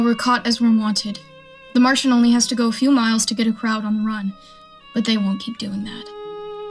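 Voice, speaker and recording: A woman's voice: on the high side, natural voice. A woman playing a defiant, survival-minded militia stranger in a radio play, talking in a blunt, folksy, speechifying way. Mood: grim, explaining. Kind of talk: radio drama acting.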